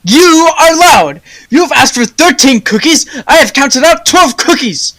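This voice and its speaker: A proud, stingy, quick-tempered baker man in a radio play, played by a teenage girl. The voice is bright and forceful, scolding with theatrical emphasis. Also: high-pitched, expressive. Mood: angry, stubborn. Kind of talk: high school radio play acting.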